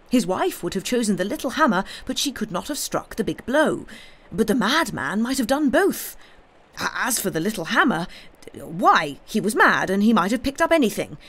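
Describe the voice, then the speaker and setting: A man reading an audiobook, voicing a high-strung, agitated young male curate who speaks in an excitable, stammering, unsteady way. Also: normal speed. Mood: feverish. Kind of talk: audiobook character dialogue.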